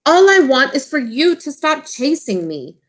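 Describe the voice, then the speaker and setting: A woman's voice: sits high, very animated, measured pace, voice pushed up. A woman voicing a big male storybook dragon, misunderstood and apologetic, with an emphatic, protesting delivery.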